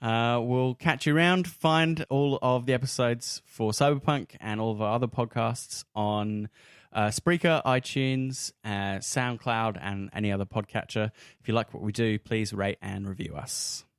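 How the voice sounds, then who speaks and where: A man's voice: mid-range voice, a little slow, clear, resonant tone, no room sound, clean studio sound. An Australian man hosting a casual round-table chat, often hesitating as he searches for the right words. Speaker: podcast host and game referee.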